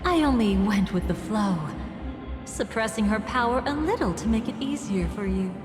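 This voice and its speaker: A woman's voice: on the high side, expressive, moderate pace, lightly acted. An actress voicing a scheming, theatrical young woman in a video game, playful and mocking, with music underneath. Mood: gleeful. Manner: playful, mocking, dramatic swings in tone.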